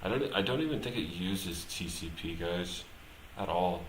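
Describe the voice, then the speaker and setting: A man thinking aloud as he live-streams his programming work, talking casually and haltingly, muttering to himself as he goes. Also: deep voice, animated. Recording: roomy echo, clear background noise, typing.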